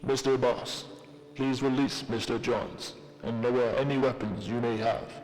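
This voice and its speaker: A man's voice: mid-range voice, fairly even intonation, measured pace, a little mellow, lightly acted. A man voicing a firm police officer who gives formal commands from a distance. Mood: commanding. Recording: distant voice with long reverb.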